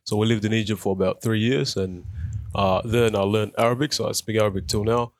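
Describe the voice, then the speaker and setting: A man's voice: fairly low pitch, steady tone, brisk, no echo, studio quality. A man in his twenties, from South Sudan, being interviewed on community radio about his life, speaking thoughtfully with many fillers and restarts. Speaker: guest. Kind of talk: radio interview, personal story. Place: radio studio.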